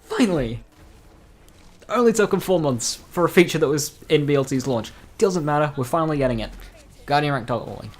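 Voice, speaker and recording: A man's voice: on the high side, expressive, fairly quick. A man talking casually through game news as a video creator, informal and dropping dry, joking asides. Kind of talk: casual gaming news commentary.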